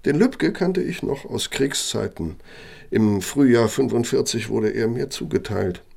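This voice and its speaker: An actor playing a self-satisfied older German architect in a radio play, talking in an expansive, boastful and genteel manner with a gravelly voice. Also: male voice, medium pitch, expressive, a little slow, a little mellow. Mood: chatty, reminiscing. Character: self-satisfied elderly German architect reminiscing over his past.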